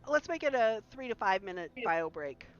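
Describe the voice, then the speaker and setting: A woman's voice: medium pitch, big pitch swings, normal speed, highs cut off, background noise. Chatty woman game master, voice giving out. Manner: chatty, laughs easily, talks in restarts. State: losing her voice. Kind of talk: casual live-stream game hosting.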